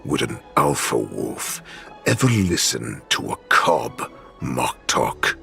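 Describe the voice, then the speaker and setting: A man voicing a menacing, commanding alpha wolf warlord in a video game, gravelly, imperious and mocking. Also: very deep voice, sing-song, slow, bright, forceful tone, soft background music, exaggerated voice. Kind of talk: dramatic video game voice acting.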